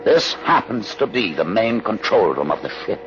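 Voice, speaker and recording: An actor playing a learned, commanding older rebel aboard a starship in a radio drama, speaking in a deliberate, theatrical, condescending way. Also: male voice, on the low side, sing-song. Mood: grave, masterful. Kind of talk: old-time radio drama acting. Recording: muffled, noisy old radio recording.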